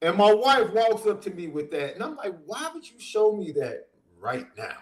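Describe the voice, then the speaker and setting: A man with a stuffy summer cold hosting a casual sports podcast, animated and laughing often. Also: high-pitched, normal speed. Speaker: podcast host and minister. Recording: roomy echo.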